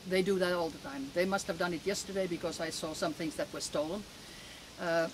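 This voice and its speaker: A woman in her seventies with a Finnish accent, giving emphatic, halting testimony as an interview guest outdoors. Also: lowish voice. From Finland. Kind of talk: conversational interview answers, personal testimony. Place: outdoors in a garden. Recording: clear outdoor background noise.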